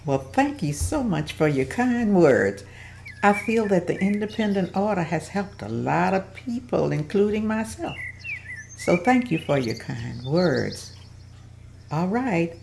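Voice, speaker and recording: A woman reading the part of a gracious older community leader in a table read, polite and gentle. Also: lowish voice, very animated, measured pace, creaky, a little hiss or hum, exaggerated voice.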